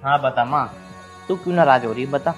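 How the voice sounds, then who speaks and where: A man's voice: medium pitch, brisk, lo-fi sound, soft background music, close to an everyday voice. Man playing an insistent young husband. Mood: coaxing. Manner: insistent, coaxing. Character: young husband caught between wife and mother. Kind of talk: family drama skit.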